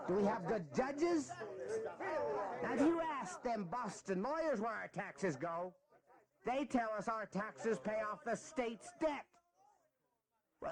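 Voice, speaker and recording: An actor playing a fiery rebel leader in a historical audio drama, rallying poor farmers with rousing rhetorical questions. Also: male voice, very high-pitched, heavily acted. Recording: loud crowd around the voice.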